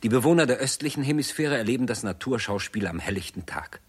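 An actor narrating a radio drama as a grave chronicler, in a measured, sober, matter-of-fact manner. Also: male voice, medium pitch, lively intonation, breathy edge. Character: grave male chronicler of a world catastrophe.

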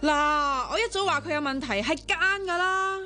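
Feisty Hong Kong Cantonese amateur sleuth for radio drama, blunt, suspicious and exclamatory. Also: female voice, sits high, normal speed, bright, forceful tone, soft background music. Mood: indignant. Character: feisty, suspicious, impulsive young woman turned amateur sleuth.

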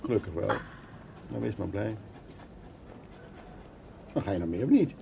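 An older male actor playing a stubborn, world-weary but fond war survivor in a radio drama, plain-spoken, with a husky, creaky voice. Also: on the low side, sing-song, measured pace, background noise, exaggerated voice. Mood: affectionate, eager. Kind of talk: radio drama acting, reminiscing and intimate dialogue.

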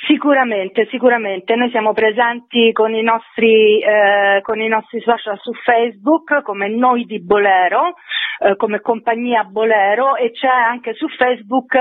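A woman's voice: on the high side, fairly even intonation, measured pace, dry recording. A woman giving promotional details in a radio interview, somewhat hesitantly, repeating words as she goes. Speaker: interviewed actress. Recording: thin, tinny phone line.

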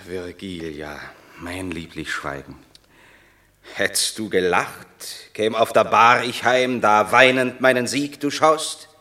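An actor playing a proud Roman war hero in a radio play, speaking verse tersely. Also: male voice, lowish voice, takes it slowly, pushed and bright. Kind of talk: classic radio play acting.

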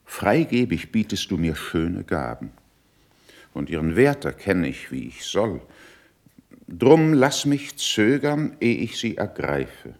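An actor playing a cool, cautious, middle-aged statesman in a classical German verse radio play, measured, dry and admonishing. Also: deep voice, very animated, exaggerated voice. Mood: reserved, cautious. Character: cool, worldly, cautious older statesman, sceptical and superior.

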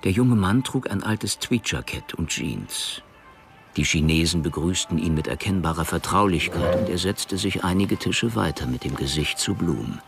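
A man narrating a crime radio drama in a dry, measured storytelling manner. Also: low-pitched, expressive, vocal fry, music in the background.

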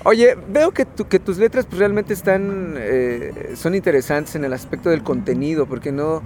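A man hosting a radio interview, asking questions in a halting, searching way. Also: a little high, expressive, darkish tone, slight background noise. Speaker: radio host.

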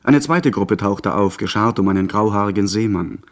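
A man's voice: lowish voice, moderate pace, natural voice. An actor playing a merchant's son who narrates his adventure in scripted radio drama storytelling. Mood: narrating. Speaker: first-person narrator.

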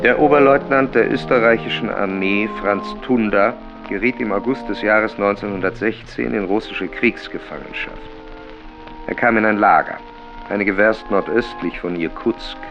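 A man reading literary prose in a radio play, in a measured, descriptive way. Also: lowish voice, highs cut off, lightly acted. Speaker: reader of reportage and novel prose. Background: music.

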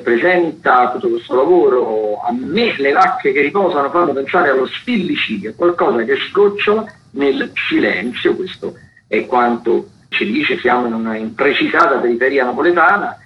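A man's voice: medium pitch, slight background noise. Italian male radio host, dull lo-fi sound. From Italy. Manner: hesitant, many fillers and restarts. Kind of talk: radio theatre listings. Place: radio studio.

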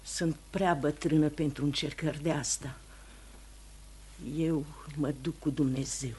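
An actress playing a devout, sensible older woman with a husky, breathy voice, disapproving, in a radio play. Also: deep voice, steady tone, dark tone. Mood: weary, concerned. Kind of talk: radio theatre acting.

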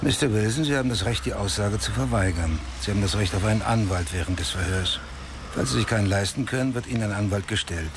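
An actor playing a cynical, self-assured police detective in a radio crime drama, with a dry, sardonic manner. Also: male voice, on the low side, fast, noisy background, natural voice.

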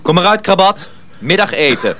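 A man playing a kind, calm journeyman in a radio drama, reassuring and understated. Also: high voice, normal speed, heavily acted. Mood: gentle, reassuring. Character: kind, calm older journeyman, a protective male friend. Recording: very noisy radio drama recording.

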